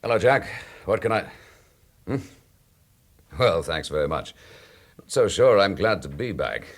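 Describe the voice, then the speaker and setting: An actor playing a dry, dogged chief inspector in a radio drama, with a British accent and a brisk, wry, authoritative manner. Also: male voice, deep voice, gravelly, slightly rough, heavily acted. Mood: brisk, businesslike.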